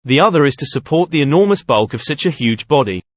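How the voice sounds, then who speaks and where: A man's voice: on the high side, expressive, quick pace, dry recording. A man reading a scripted practice passage aloud. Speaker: test-practice passage reader. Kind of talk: scripted read-aloud narration.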